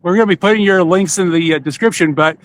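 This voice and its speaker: A man's voice: high-pitched, fast talker, a little hiss or hum. A man hosting a podcast in a casual, chatty way, steering guests through their plugs. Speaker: podcast host. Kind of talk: casual chat.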